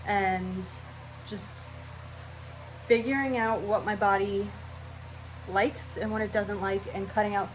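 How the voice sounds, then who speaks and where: A woman's voice: medium pitch, slight background noise, rough recording. A young woman with a stuffy, nasal voice, rambling casually through her channel intro at home. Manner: scatterbrained, rambling, chatty. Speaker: vlogger.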